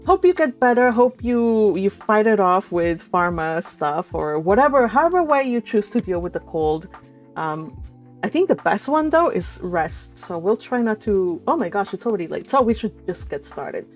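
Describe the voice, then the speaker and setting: A woman hosting a casual livestream, chatting in an animated, teasing way. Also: medium pitch, normal speed. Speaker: host of a live read-along stream. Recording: music behind the voice. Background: music.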